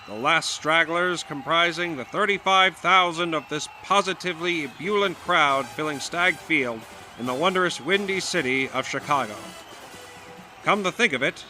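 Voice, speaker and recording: Bright, florid 1920s football radio announcer. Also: male voice, on the high side, slight background noise. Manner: florid, rhetorical, grandiose. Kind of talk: 1920s sports radio commentary acting.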